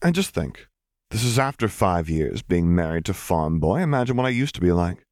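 A man voicing a wry, teasing, brisk young mother who works as a pilot in a story reading. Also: low voice, very animated, darkish tone, no room sound, studio quality.

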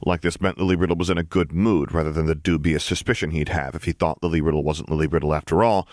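A man's voice: extremely low pitch, fairly even intonation, fast, a little mellow, dry recording, studio quality. A man reading scripted fiction as an audiobook narrator.

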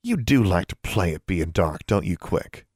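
A man's voice: low-pitched, very animated, moderate pace, darkish tone, husky, no room sound, studio quality, exaggerated voice. A man voicing a curt, bullying teenage boy cadet in an audiobook story. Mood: mocking.

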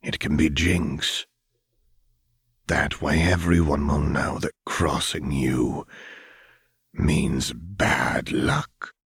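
A man voicing a calm, calculating father figure with soft menace in an audiobook, speaking in a measured, deliberate way. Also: a bass voice, creaky, husky, no room sound, studio quality, voice pushed down, full character voice. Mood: tender, sly.

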